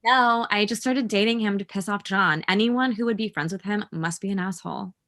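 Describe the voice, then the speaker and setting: A woman's voice: mid-range voice, brisk, a bit bright, close to an everyday voice. A woman playing a brash, spiteful young schemer in a comedy table read, blunt and sardonic. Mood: scheming.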